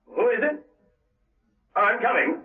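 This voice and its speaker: A man's voice: very high-pitched, lively intonation, takes it slowly, exaggerated voice. An actor playing a male agent at home in a 1970s radio drama. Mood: puzzled. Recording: thin, tinny vintage radio recording.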